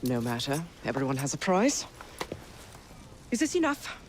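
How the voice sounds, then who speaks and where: A woman's voice: a bass voice, sing-song, normal speed, slight background noise. Suave Victorian businesswoman. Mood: cool amusement. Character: shrewd, haughty Victorian businesswoman, coolly amused. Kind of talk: game cutscene acting.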